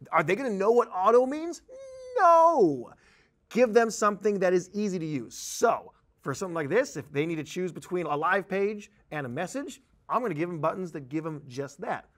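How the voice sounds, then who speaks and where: A man in his thirties presenting a software tutorial in an animated, conversational, emphatic manner. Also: sits high. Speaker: software trainer. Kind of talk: tutorial presentation with on-screen demonstration. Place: indoor presentation studio at a desk.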